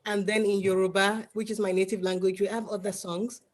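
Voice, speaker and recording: A woman from Nigeria, chatting casually on a video call and explaining with many pauses and restarts. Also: medium pitch, flat delivery. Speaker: party guest.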